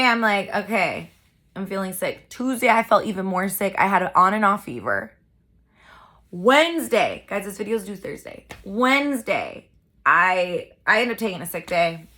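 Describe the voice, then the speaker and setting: A young woman telling a story in a casual vlog, chatty and animated, talking straight to her viewers. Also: medium pitch. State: congested from a cold. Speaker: vlogger and poet.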